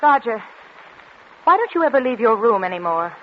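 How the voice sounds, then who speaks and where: An actress playing a fragile, desperate concert pianist in a breathless, insistent 1940s radio style. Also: female voice, fairly high pitch, sing-song, normal speed. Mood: pleading, secretive. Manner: breathless, insistent, repeating herself. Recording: muffled, noisy 1940s radio recording.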